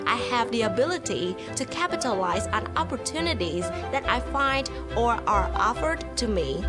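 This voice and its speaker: A woman introduces herself in a composed, upbeat scripted voice-over. Her voice sits slightly high, and loud music plays under it the whole time. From Vietnam. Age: 20s. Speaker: student. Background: loud background music.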